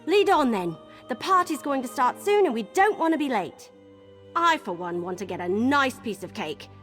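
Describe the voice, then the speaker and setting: High, bubbly young adventurer girl. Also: sing-song, moderate pace. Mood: excited. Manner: bubbly, folksy exclamations. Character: eager, chatty young female adventurer who loves cake. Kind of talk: video game character dialogue. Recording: game music underneath. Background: game music.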